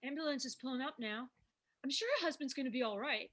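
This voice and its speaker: A woman's voice: sits high, fast talker, a bit bright. A woman playing a perky young diner waitress, folksy and easily flustered, in a screenplay table read. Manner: folksy, chirpy.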